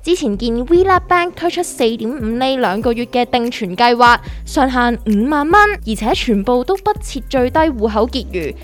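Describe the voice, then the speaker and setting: A woman's voice: fairly high pitch, animated, normal speed, few pauses, soft background music, natural voice. A woman with a Hong Kong accent playing a cheeky, curious novice investor, exclamatory and teasing, in a comic radio drama. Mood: curious, sceptical.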